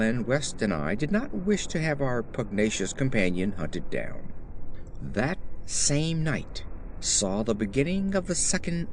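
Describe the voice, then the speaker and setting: A man's voice: medium pitch, a little mellow, slight background noise. A man narrating a horror story as a dramatic, scripted audiobook reading.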